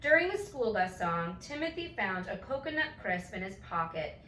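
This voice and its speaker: A woman reading a children's bedtime story aloud with expressive storytelling delivery. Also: medium pitch, moderate pace. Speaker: storybook reader. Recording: roomy, distant mic, faint noise.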